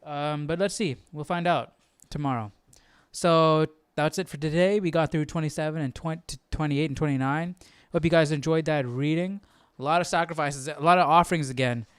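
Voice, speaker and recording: A man's voice: a little high, moderate pace, few pauses, smooth, no echo, clean studio sound. A man hosting a Bible study livestream, thinking aloud in an informal, musing way and often repeating himself. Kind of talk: casual chat, thinking aloud.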